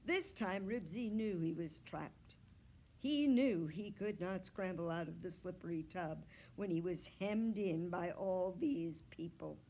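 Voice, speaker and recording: A woman reading a children's storybook aloud like a librarian, with measured, expressive phrasing that sometimes drops to a hush. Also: lowish voice. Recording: clear background noise.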